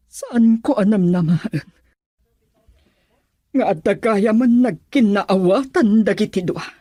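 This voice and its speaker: A disapproving mother in a radio drama, with an Ilocano accent. Her voice is husky and slow, drawing out scolding, emphatic words. The pitch moves freely up and down as she lays down the law. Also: dark tone, no echo, studio quality, heavily acted. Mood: brooding, resentful. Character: stern disapproving mother of a grown daughter.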